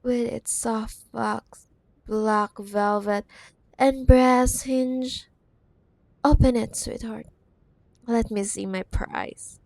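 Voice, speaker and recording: Casting: woman as possessive corporate villainess ex, teasing and condescending, unhurried, intimate ASMR roleplay, very dry recording. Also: on the high side, fairly even intonation, gravelly, darkish tone, no echo, full character voice. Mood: gloating admiration. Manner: teasing, condescending, drawn-out words with pet names. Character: possessive, teasing cyberpunk CEO ex-girlfriend villainess. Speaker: audio roleplay voice actor. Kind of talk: ASMR audio roleplay acting.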